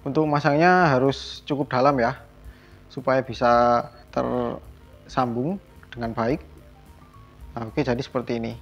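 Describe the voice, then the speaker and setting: A man's voice: medium pitch, fairly even intonation, measured pace, long pauses. Dull-sounding man giving a tutorial. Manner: explanatory, step by step. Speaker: vlogger demonstrating a product installation. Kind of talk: casual hands-on tutorial narration. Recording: dull lo-fi sound, music behind.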